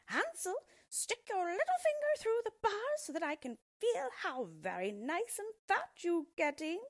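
A woman voicing a cruel, greedy old witch with a shrill, bossy manner. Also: very animated, unhurried, dry recording, studio quality, exaggerated voice. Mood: menacing. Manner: impatient, bossy.

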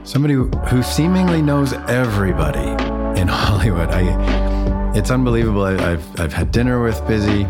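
Low-voiced male podcast host over music. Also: a little fast. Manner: relaxed conversational, with fillers. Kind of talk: podcast interview.

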